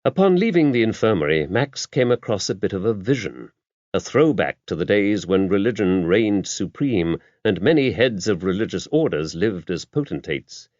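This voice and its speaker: A man reading mystery fiction as an audiobook narrator, in a measured, expressive storytelling style. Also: on the low side, no echo. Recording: slightly dull, dry audiobook recording.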